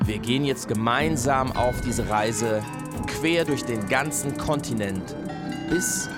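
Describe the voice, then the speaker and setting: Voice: medium pitch, slow, loud music behind the voice, close to an everyday voice. A performer playing a radio presenter who guides listeners through border country in scripted narration. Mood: guiding. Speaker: radio host.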